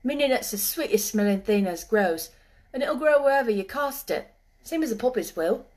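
A woman's voice: fairly high pitch, lively intonation, normal speed, darkish tone, lightly acted. A woman voicing a cheerful, friendly moorland boy in a storybook, with a Yorkshire accent and easy, earthy dialect speech. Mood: friendly, assured.

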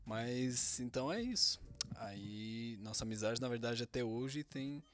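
A young man, co-hosting a casual couple podcast with boastful, deadpan jokes. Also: medium pitch, measured pace. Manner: joking, boastful, deadpan teasing. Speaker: podcast co-host.

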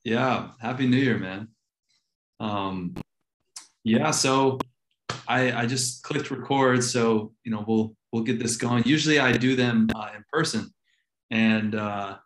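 A man's voice: medium pitch, slow pace, slight background noise. A man hosting a podcast, talking in an easygoing way full of fillers. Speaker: podcast host. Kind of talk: casual interview chat. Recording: echoey room.

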